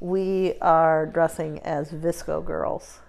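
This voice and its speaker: A young woman co-hosting a casual podcast chat, relaxed and full of "like" fillers. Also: low voice, very slow, vocal fry. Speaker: podcast co-host.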